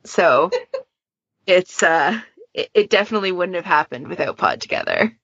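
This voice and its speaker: A woman with a slightly low voice talks casually in a panel discussion, explaining in a chatty, informal way. The sound is very dry and a little dull and lo-fi. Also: lively intonation, normal speed, a bit bright, slightly rough, dry recording. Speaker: podcast panelist and fan project moderator.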